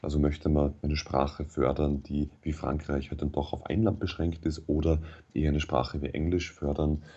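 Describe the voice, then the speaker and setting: A man speaking German as his first language, a radio interview guest who explains things in a measured way with frequent hesitations. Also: very deep voice, little pitch movement, mellow, slightly rough, dull audio. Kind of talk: thoughtful radio interview answers.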